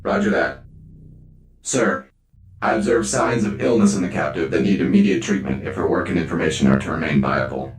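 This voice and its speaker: A man's voice: a bass voice, brisk, reverberant, a little hiss or hum. A man playing a stiff, formal crew member of a spaceship who reports in clipped phrases. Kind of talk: audio drama acting.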